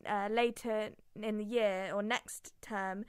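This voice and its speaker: A woman's voice: mid-range voice, steady tone, takes it slowly, clear, resonant tone, no echo, clean studio sound. A young woman hosting a student radio show, talking casually and laughing through technical problems.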